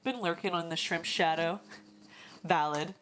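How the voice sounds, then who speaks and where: A young woman live-streaming while she models in 3D, musing slowly and chatting with viewers, her voice going hoarse. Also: lowish voice, background noise. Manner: slow, musing, thinking aloud, greets chat. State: losing her voice.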